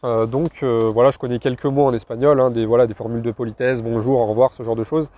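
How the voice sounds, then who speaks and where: A young man chats to his audience in a relaxed, mellow voice. He talks in short bursts and leaves frequent pauses. Now and then he breaks into a brief laugh. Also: mid-range voice. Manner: hesitant, many fillers and restarts, short laughs. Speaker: self-employed online entrepreneur. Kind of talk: casual personal talk to camera.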